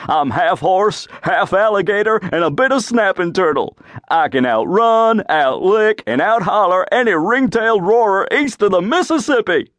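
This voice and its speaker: Man acting a hollering, high-pitched frontier braggart. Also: lively intonation, normal speed, a bit bright, dry recording. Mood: boastful hollering. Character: boastful rowdy frontier backwoodsman, folk-tale braggart. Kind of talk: audiobook tall-tale character voice.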